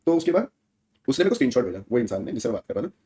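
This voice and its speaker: Very fast-talking male streamer. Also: medium pitch, big pitch swings, warm tone. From India. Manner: conversational, slangy, seeking agreement from listeners. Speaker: gaming streamer. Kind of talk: casual livestream rant addressed to viewers.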